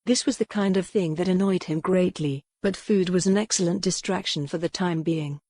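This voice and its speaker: Low, dark-voiced woman narrating a novel. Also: no room sound, clean studio sound. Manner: even, measured storytelling. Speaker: audiobook narrator.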